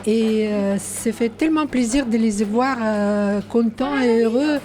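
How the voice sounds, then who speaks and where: A woman from Ukraine, a volunteer, speaking heartfelt French with many pauses and fillers. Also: fairly high pitch, darkish tone. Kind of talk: interview answers. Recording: busy room with crowd chatter.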